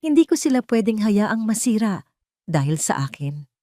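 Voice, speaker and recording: An emotional wife from a radio-style love drama, acted by a woman narrator. Her voice is dark and soft, wounded yet proud. She sounds desperate to shield her family. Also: medium pitch, very animated, moderate pace, no room sound, clean studio sound, pitched above the performer's own voice, lightly acted. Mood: defeated despair. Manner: emotional, trembling defiance.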